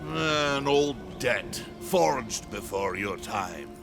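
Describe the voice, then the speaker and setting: A man playing a proud, stern old warrior in a fantasy video game, gravelly, with slow, weighty, archaic speech. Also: mid-range voice, animated, over music, exaggerated voice. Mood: grave, brooding. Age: older. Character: proud old male warrior maker, stern, battle-worn. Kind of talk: video game cutscene acting.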